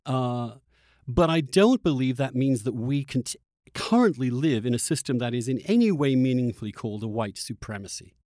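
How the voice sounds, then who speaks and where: A man's mid-pitched voice, recorded close and dry. He hosts a conversational political discussion, articulate and argumentative, with halting restarts as he searches for the exact phrasing. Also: animated, darkish tone, no echo. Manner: halting, searching for words with repeated starts. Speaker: interviewer, podcast host.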